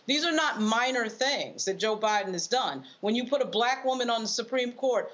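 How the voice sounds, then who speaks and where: A woman's voice: medium pitch, fairly bright tone, dull audio. An American woman giving emphatic, argumentative political commentary off the cuff as a panelist.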